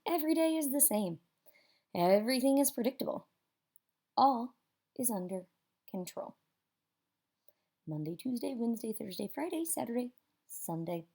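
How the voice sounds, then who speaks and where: Mellow woman reading a children's storybook. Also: a little high. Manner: theatrical, with dramatic pauses and emphasis. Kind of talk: expressive children's picture book read-aloud.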